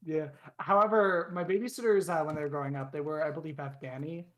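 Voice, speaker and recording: A man's voice: on the high side, fast talker. A young man co-hosting a casual podcast, telling stories in a rambling way full of restarts and fillers. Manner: animated storyteller. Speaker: podcast co-host. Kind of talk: casual chat.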